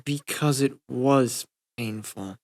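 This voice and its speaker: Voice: mid-range voice, takes it slowly, a little mellow. Storyteller's teenage girl character, sheepish, guilt-ridden, hesitant, subdued, audiobook dialogue.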